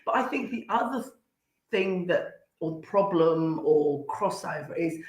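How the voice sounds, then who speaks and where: A woman chatting casually as a podcast guest, blunt, irreverent and jokey. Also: on the low side. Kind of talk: casual chat. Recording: roomy, echoey sound.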